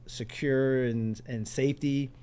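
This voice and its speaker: A man's voice: mid-range voice, steady tone, takes it slowly, dark tone, clear, resonant tone. A middle-aged or older man from Pennsylvania, speaking conversationally as an interview guest and community organizer in a studio. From Pennsylvania, United States. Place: radio studio.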